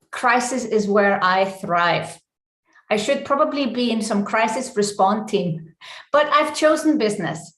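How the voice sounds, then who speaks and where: A woman with an Icelandic accent leads a live online coaching presentation, speaking deliberately in short, emphatic phrases. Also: fairly high pitch, fairly bright tone. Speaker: business coach and session host. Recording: echoey room.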